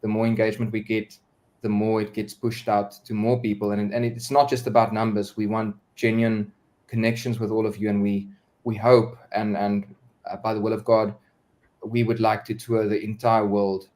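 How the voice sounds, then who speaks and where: A man's voice: lowish voice, level tone, moderate pace, darkish tone. A man talking as a singer guest on a live-stream video call, earnest, with many fillers and repeated words. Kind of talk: casual live-stream chat.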